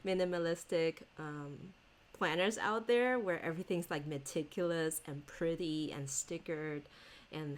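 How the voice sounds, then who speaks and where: A woman whose first language is Chinese, chatting casually and rambling as she shows her planners, laughing often. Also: lowish voice. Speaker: stationery vlogger. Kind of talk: casual chat showing planners.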